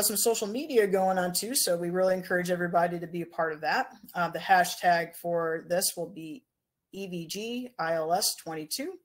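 Casual young woman running conference announcements. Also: fairly low pitch. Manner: casual, many uh fillers. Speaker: conference organizer. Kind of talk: housekeeping announcements at an online conference. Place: home room on a video call.

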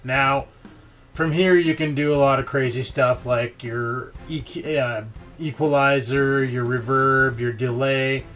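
A man's voice: mid-range voice, fairly even intonation, a little slow, a bit bright, soft background music. A man hosting a casual screen-recorded tech tutorial, talking informally with slang and mild swearing. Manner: informal, slangy and mildly profane. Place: indoor voice-over of a screen recording.

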